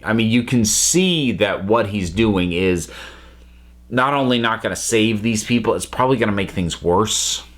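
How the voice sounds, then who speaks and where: A man giving an unscripted review to viewers, speaking emphatically and haltingly as he thinks aloud. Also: lowish voice, lively intonation. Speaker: reviewer and channel host.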